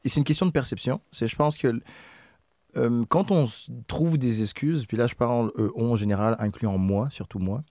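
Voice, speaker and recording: A man's voice: mid-range voice, moderate pace, darkish tone, no room sound. Reflective Québécois man, dry sound. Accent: Québécois French. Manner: reflective, self-critical, laughs easily. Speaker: podcast co-host. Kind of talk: casual podcast chat.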